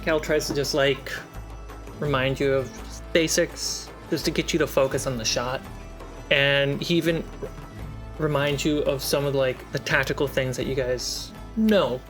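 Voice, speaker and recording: Game master, slow delivery, descriptive narration, tabletop game. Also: mid-range voice, steady tone, music in the background.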